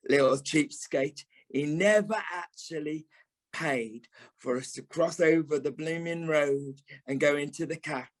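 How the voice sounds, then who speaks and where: An actor playing a sentimental, camp middle-aged British performer in an audio play, with a theatrical, affectionate manner. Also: male voice, on the high side, unhurried, natural voice. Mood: fondly nostalgic. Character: sentimental, camp older male performer, wry and fond.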